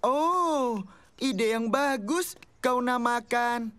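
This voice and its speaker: An actor voicing an excitable, cheerful child sidekick in an animated comedy, enthusiastic and full of exclamation. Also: medium pitch, extremely slow, clean tone. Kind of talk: animated comedy cartoon dubbing. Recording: loud music behind the voice.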